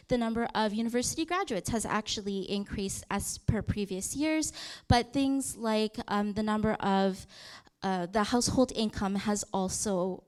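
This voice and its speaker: A woman's voice: medium pitch, a little mellow, smooth. A woman in her thirties presenting on a youth program, talking through slides in a conversational way with frequent fillers and restarts.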